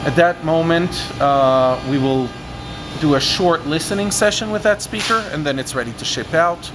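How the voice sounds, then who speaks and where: A man's voice: on the high side, normal speed. A man in his thirties giving a precise, unscripted technical explanation in a methodical way. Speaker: loudspeaker maker explaining factory testing. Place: large industrial workshop, indoors. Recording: steady ventilation and workshop machinery noise.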